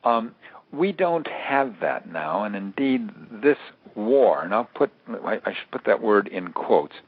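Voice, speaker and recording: An older American man giving considered, analytical interview answers in a halting, deliberate way, repeating words for emphasis. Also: on the low side, husky, no room sound. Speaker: interview guest, author and security analyst. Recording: narrow tinny phone line.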